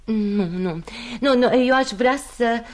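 An actress in a radio play playing a proud, wounded mistress in her thirties, speaking in an intimate, halting, wry way. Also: on the high side, natural voice. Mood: probing, insistent. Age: 30s. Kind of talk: radio theatre acting.

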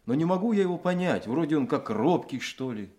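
A man's voice: fairly high pitch, animated, fairly quick, darkish tone, close to an everyday voice. An actor playing a mild, doubtful police colleague in a radio drama, pondering as he searches for words. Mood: puzzled.

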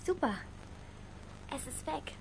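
A woman's voice: slow pace, background noise, lightly acted. An actress playing a cheeky, defiant schoolgirl daughter in a radio play, pert and blunt in her speech. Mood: teasing, pert.

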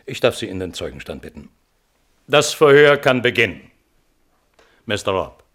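An actor playing the chairman of an inquiry board in a German radio play, formal, procedural and deliberate. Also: fairly low pitch, very animated, fairly bright tone.

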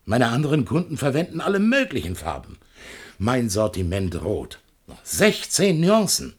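A man's voice: medium pitch, huge pitch swings, normal speed, slightly rough. An actor playing an evasive, talkative shopkeeper in a German radio play, chatty and patronizing as he placates a customer.

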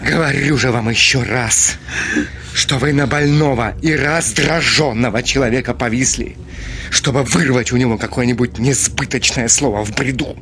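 Актёр играет раздражённого, измученного гордого господина. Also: male voice, mid-range voice, moderate pace, a bit bright, a little hiss or hum, close to an everyday voice. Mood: exasperated. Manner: agitated, accusatory, emphatic repetitions. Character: irritable, proud, tormented Russian gentleman, middle-aged. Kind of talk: Russian radio play acting.

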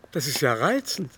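An actor playing an aging, corpulent French aristocrat in a literary radio drama, teasing and flattering in a mannered, drawn-out way. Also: male voice, a little high, vocal fry, slight background noise, heavily acted. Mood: arch teasing. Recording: music playing behind the voice.

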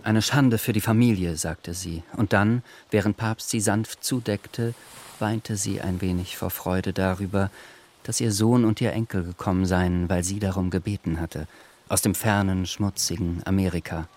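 An actor playing a sober literary narrator in a radio drama, telling the story in a measured, dry manner. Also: male voice, low voice, steady tone, a little mellow, a little hiss or hum, close to an everyday voice.

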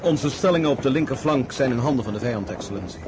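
Radio drama army officer, male actor, formal but urgent, quick delivery, noisy outdoor battlefield around him. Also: mid-range voice, lively intonation, close to an everyday voice. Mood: anxious urgency. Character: anxious, deferential army officer reporting a defeat. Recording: outdoor battle noise behind, off-mic.

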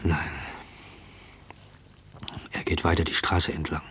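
A man's voice: low-pitched, monotone, slightly rough, full character voice. An actor playing a fearful man shadowing a stranger, in a tense, questioning radio play monologue. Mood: dread, suspense. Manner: tense, hushed, questioning, deliberate.

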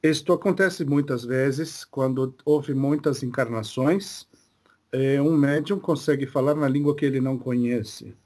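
Man, medium voicing a spirit guide, hesitant, pauses to find words, even middle pitch. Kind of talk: slow halting spiritual talk.

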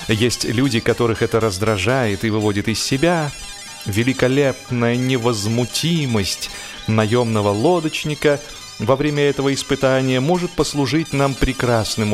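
A man reading humorous literary prose as an audiobook narrator, with a theatrical, expressive delivery. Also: mid-range voice.

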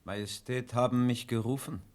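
A man acting a sober, dutiful royal minister in a German radio drama, formal, measured and insistent with his king. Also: medium pitch, fairly even intonation, a little mellow, lightly acted. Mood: concerned.

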